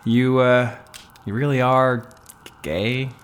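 An actor playing a frank, wry but shaken married man in a stage play, speaking in a halting, measured way over a noisy theater recording. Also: fairly low pitch, expressive, husky, full character voice. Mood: resigned, probing.

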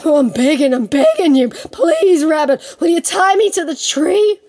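A woman's voice: sits high, big pitch swings, normal speed, a little mellow, soft background music, pitched above the performer's own voice. A woman voicing a big male tiger in a folktale, gullible and anxious, grumbling and pleading with stammers. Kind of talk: animated oral folktale storytelling.

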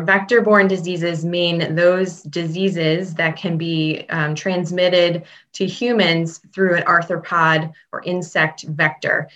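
A woman's voice: low voice, a bit bright. A woman from Chicago, a public health veterinarian answering interview questions in a friendly, casual way with many ums. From Chicago, Illinois. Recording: dull lo-fi sound.

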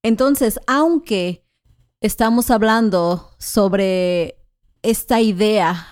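A Mexican woman co-hosting a podcast, speaking in a measured, clear way for learners and often affirming with soft murmurs. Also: mid-range voice, no room sound, clean studio sound. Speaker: podcast co-host. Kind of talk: slow, clear conversational chat for learners.

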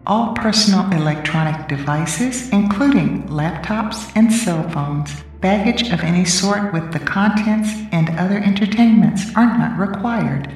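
A woman playing an airport boarding announcer, giving formal, measured announcements in a radio drama. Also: medium pitch. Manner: measured, formal public-address delivery. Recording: distant echoey announcement with soft music.

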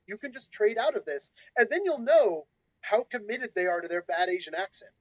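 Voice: medium pitch, animated, normal speed, no echo. A podcast co-host in their thirties who talks in a joking, thoughtful way and gives advice. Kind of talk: casual discussion podcast. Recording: dull, dry lo-fi audio.

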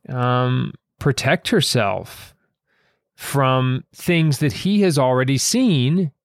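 Male podcast host and writer, unhurried and thoughtful, dry close sound, halting reflective delivery. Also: medium pitch, clear, resonant tone, no room sound. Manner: thoughtful, halting, pausing mid-sentence. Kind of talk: reflective conversation about a story.

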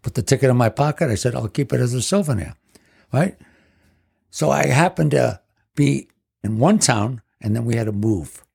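Older man in his 70s, guest storyteller, gravelly husky voice, rambling. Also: mid-range voice, lively intonation, moderate pace, a little mellow. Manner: rambling, leans on "basically". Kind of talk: casual storytelling.

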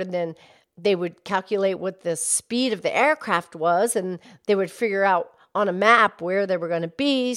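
A woman co-hosting a podcast, explaining things in a measured, matter-of-fact way. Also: medium pitch, fairly bright tone. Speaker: podcast co-host.

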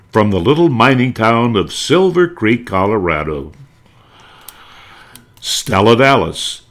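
A man narrating nostalgically, with theatrical delivery and impressions of catchphrases. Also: mid-range voice. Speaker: narrator reminiscing about old-time radio.

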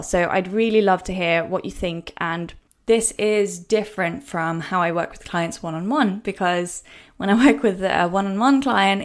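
Woman, Norwegian first language, podcast language coach, gentle, mid-range voice, step-by-step explaining. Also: mid-range voice. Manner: slows down to spell out example words.

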